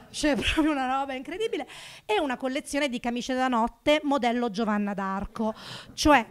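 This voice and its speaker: High-voiced, punchy woman doing stand-up. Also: normal speed, clear, resonant tone. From Naples. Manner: animated, sarcastic, punchy delivery with big rises for punchlines. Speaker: stand-up comedian. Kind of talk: stand-up comedy routine. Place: small comedy club stage, indoors. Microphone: handheld stage microphone.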